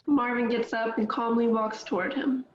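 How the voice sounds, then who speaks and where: A woman with a somewhat high voice reads stage directions in a plain, measured way. The recording is roomy and a bit dull, with a faint hiss underneath. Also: fairly even intonation. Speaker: narrator reading stage directions. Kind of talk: table read narration.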